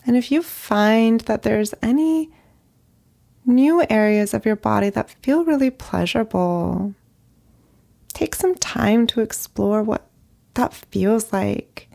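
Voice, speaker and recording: A woman leading a guided meditation as a therapist, speaking gently in short phrases with long pauses. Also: fairly high pitch, expressive, creaky. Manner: slow, gentle, pausing between short phrases.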